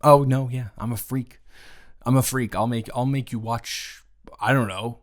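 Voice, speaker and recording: A man playing a smitten, playfully dramatic boyfriend in an improvised romantic audio roleplay, teasing and repeating his words haltingly. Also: mid-range voice, steady tone, natural voice. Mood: mock outrage, exasperated.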